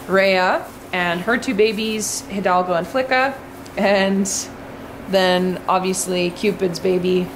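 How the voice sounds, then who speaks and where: A young woman vlogging casually from inside a large goat barn, filming herself at arm's length and explaining her animals in a relaxed, chatty way. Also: medium pitch, a little hiss or hum, poor audio. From Canada. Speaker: farm vlogger. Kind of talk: casual vlog talk to camera. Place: indoors in a large goat barn with straw pens. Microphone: handheld camera at arm's length.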